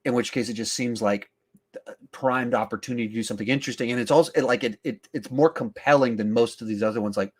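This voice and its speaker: A man's voice: mid-range voice, quick pace. A man hosting a podcast, chatting casually about films in an emphatic way, often thinking aloud. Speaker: podcast host. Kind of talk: casual film discussion.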